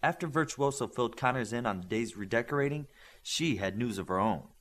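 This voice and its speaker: A man's voice: medium pitch, lively intonation, fairly quick, fairly bright tone. A man reading a story aloud as scripted audiobook narration. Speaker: audiobook reader. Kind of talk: scripted fiction narration with acted dialogue.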